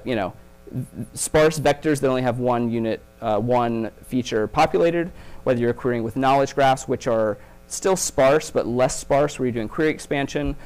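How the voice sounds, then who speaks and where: A man's voice: medium pitch, a little mellow. A man giving a keynote talk at a conference, explaining ideas step by step and leaning often on "um" and "uh" fillers. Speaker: keynote speaker. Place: conference stage.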